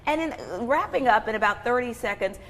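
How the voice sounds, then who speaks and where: A woman's voice: a little high, expressive, brisk, a bit bright. A woman hosting a conversational community TV interview. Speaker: talk show host. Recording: echoey hall reverb with background noise.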